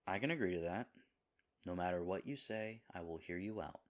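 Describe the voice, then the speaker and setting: A man's voice: low-pitched, a little fast, lightly acted. A man playing a lovable, nervous himbo boyfriend in an intimate audio roleplay, earnest and rambling. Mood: worried, earnest. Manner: earnest, rambling, hesitant, dropping into breathy asides.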